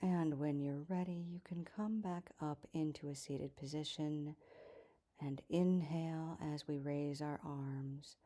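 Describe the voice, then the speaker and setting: A woman leads a yoga class in a low, mellow voice. She gives calm cues at an unhurried, slow pace, letting the ends of phrases fall soft and breathy. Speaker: yoga teacher.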